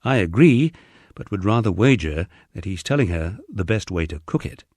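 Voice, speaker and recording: A man with a low, very animated voice narrates a humorous memoir. He reads as an audiobook storyteller with a wry touch. The sound is dry and close, with no room around it. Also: moderate pace, no echo.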